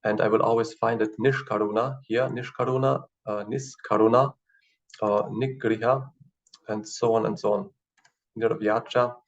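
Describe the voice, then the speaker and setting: Halting, gravelly German-native man teaching. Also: fairly low pitch, fairly even intonation. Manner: hesitant, halting explanations with many fillers. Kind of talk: screen-share tutorial. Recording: distant, dull lo-fi mic.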